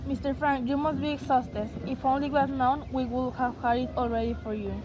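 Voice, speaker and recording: A woman's voice: sits high, fairly even intonation, brisk, dull audio, background noise, natural voice. A woman playing an earnest, polite, anxious grown woman in an amateur wartime drama reading. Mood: earnest, concerned.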